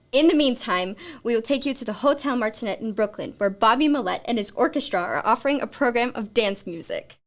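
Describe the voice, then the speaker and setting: A woman's voice: a little high, lightly acted. A woman playing a composed radio studio announcer in a radio play.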